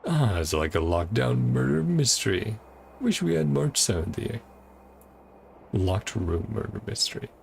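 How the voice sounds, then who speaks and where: A man's voice: fairly low pitch, sing-song, unhurried, gravelly, a little mellow, slight background noise. A man streaming a game playthrough, reading dialogue aloud and commenting casually in offhand fragments. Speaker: gaming streamer.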